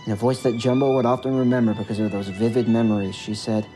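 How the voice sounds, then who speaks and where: A man's voice: on the low side, little pitch movement, quick pace, mellow. A man reading a scripted story as its narrator, with music behind his voice.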